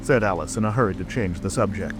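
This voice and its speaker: A man's voice: deep voice, fast talker. A man narrating a storybook audiobook in a scripted reading style. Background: rain ambience and music.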